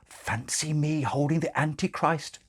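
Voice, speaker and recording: A man's voice: on the high side, fairly even intonation, unhurried, husky, pitched above the performer's own voice. A man voicing a chattering, scatterbrained nun who gushes in baby talk, in a storybook reading. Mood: gushing, cooing. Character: chattering scatterbrained young Satanist nun, cooing over babies.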